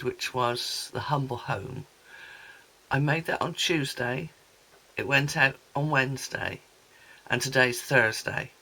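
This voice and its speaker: A woman with a hoarse, gravelly voice from a sore throat, chatting in a rambling way as she shows off a craft haul. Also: a bass voice, sharp tone, poor audio. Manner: chatty, rambling, many ums and asides. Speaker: craft vlogger. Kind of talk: casual product haul chat.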